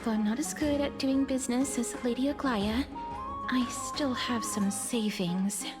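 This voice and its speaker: Woman acting a high-voiced, solemn young demigoddess. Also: unhurried, music in the background, natural voice. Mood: hesitant, earnest. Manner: formal, hesitant, earnest. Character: earnest, solemn, gentle long-lived young-sounding woman demigod. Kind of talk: anime-style video game acting.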